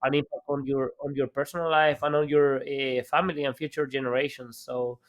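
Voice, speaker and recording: A man's voice: mid-range voice, flat delivery, a little fast. A man from El Salvador, a guest entrepreneur, talking casually in an interview with many fillers and restarts.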